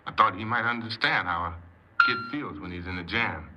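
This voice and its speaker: An actor playing a troubled, defensive criminal confessing in a 1950s radio crime drama, speaking earnestly and haltingly as a proud hypnoanalyst. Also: male voice, fairly low pitch, expressive, lightly acted. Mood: regretful. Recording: very noisy, muffled 1950s radio recording.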